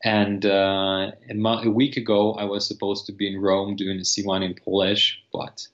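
A man's voice: lowish voice, completely flat delivery, moderate pace. A young man whose first language is Russian, a language teacher speaking earnestly on a video call with many fillers and restarts. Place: room with teal wall. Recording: dull lo-fi video call audio.

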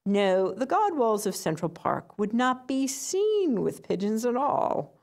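A woman doing a pompous old swan gentleman. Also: a little high, big pitch swings, slow pace, voice pushed up, full character voice. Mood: haughty. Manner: pompous, haughty.

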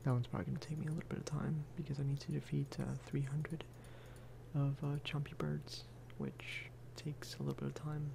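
A man giving soft-spoken ASMR game commentary in a hushed, unhurried voice that at times slips toward a whisper. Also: little pitch movement, gravelly, background noise. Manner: unhurried, low-key, musing aloud. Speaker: gaming video creator.

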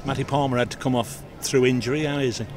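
A man, a club media interviewer asking post-match questions by the pitch. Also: steady tone, slight background noise. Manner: brisk, conversational questioning. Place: outdoors by a football pitch.

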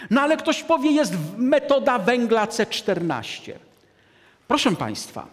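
A man in his sixties giving a deliberate, emphatic lecture to an audience from a lectern in a large hall. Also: high-pitched. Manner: deliberate, emphatic, gestures at a map. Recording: long hall reverb.

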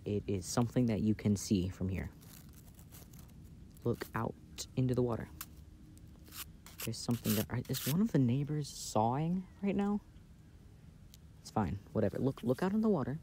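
A man playing an affectionate, playful boyfriend in a casual, intimate ASMR roleplay, speaking softly and hesitantly, recorded outdoors. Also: mid-range voice, animated, normal speed, pauses often, lightly acted. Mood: playful, teasing. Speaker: amateur audio roleplay performer. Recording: outdoor ambient noise.

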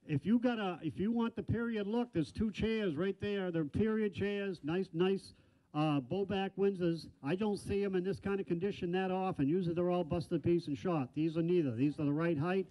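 An older man calling bids as an auctioneer in a large indoor hall, rattling off numbers in a fast chant with folksy asides, speaking into a handheld mic. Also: high-pitched. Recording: dull lo-fi sound.

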